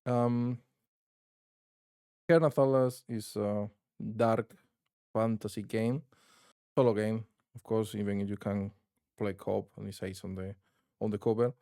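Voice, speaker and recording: A man's voice: fairly low pitch, steady tone, measured pace, long pauses, dark tone, smooth, no room sound, studio quality. A man from Spain with a strong Spanish accent, hosting his tabletop gaming channel in casual, rambling talk with many restarts. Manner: rambling, frequent restarts and fillers. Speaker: channel host.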